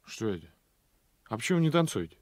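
A man's voice: mid-range voice, big pitch swings, fast talker, darkish tone, no room sound, natural voice. An actor playing a proud, swaggering village guardsman with a taunting manner in a radio play. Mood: offended. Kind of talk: Soviet radio play acting.

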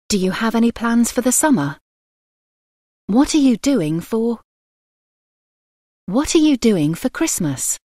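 A woman reads everyday English phrases aloud for learners, one by one. Her somewhat high voice swings widely in pitch, and she speaks very slowly. The sound is dry and close. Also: mellow, no echo. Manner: very slow, clear, pauses between phrases. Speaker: phrase reader. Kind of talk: slow scripted phrase reading.

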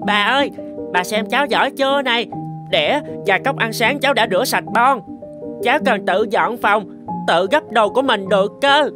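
A woman's voice: fairly high pitch, normal speed, over music, close to an everyday voice. A woman voicing an eager, proud five-year-old boy in a cartoon. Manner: eager, boastful. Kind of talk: cartoon dubbing.

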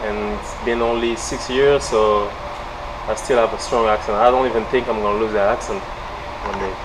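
A young man with a French accent, a fighter being interviewed in a large indoor gym, speaking haltingly with many fillers. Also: fairly low pitch, fairly even intonation, noisy background. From France. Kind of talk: casual interview.

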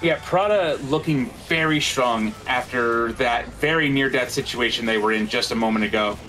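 A man's voice: mid-range voice, normal speed, bright, forceful tone, rough recording. A man commentates an online fighting game tournament in a casual, conversational way. His voice sits in a medium register, with faint music playing underneath. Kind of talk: casual esports commentary and banter.

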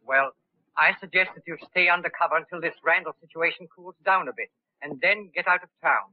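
A man's voice: a little high, a little fast, dry recording, natural voice. An actor playing a deferential, loyal manservant in a 1930s film, polite and formal, calling his employer sir. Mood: earnest. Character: deferential loyal male manservant, middle-aged. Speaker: loyal butler Hendricks. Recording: tinny old 1930s film soundtrack.

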